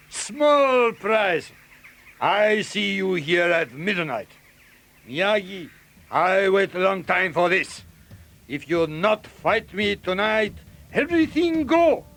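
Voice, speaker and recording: A man's voice: high-pitched, expressive, takes it slowly, gravelly, fairly bright tone, full character voice. An actor playing a proud, bitter older Okinawan businessman in an audio drama, threatening in broken English. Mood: threatening, gloating.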